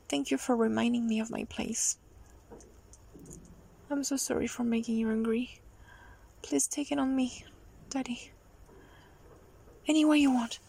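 A young adult woman performing a solo audio roleplay in a soft, breathy, pleading manner, often dropping into a whisper. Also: high-pitched, rough recording. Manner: slow, drawn-out delivery with frequent whispering.

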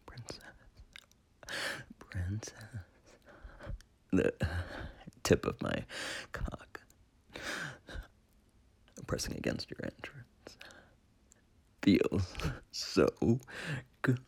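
Man acting a low, husky, whispering domineering lover. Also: wildly swooping pitch, darkish tone, exaggerated voice. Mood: menacing, teasing. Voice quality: breathy, husky, gravelly whisper. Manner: murmuring in short halting phrases. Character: domineering, menacing male lover. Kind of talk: intimate erotic audio roleplay.

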